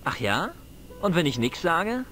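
Cheeky teenage village boy, boyish high voice, lively swoops, cocky slang, dramatic tall-tale telling, video game acting. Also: measured pace, a little hiss or hum, full character voice. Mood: defiant.

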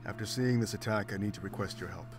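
An actor playing a big, gruff, pragmatic male wolf-like warrior in a video game, serious and firm. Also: fairly low pitch, fast talker, music in the background, natural voice. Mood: guarded, grim.

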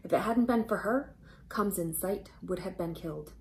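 A woman in her thirties reading a storybook aloud to children, expressive and emphatic, addressing them directly. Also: on the low side, moderate pace, slight background noise. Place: indoors, small room, close to camera.

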